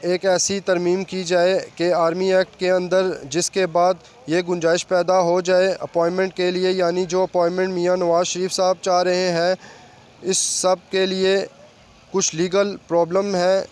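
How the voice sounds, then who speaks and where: High-pitched, flat-toned male news commentator. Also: moderate pace, clear, resonant tone. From Pakistan.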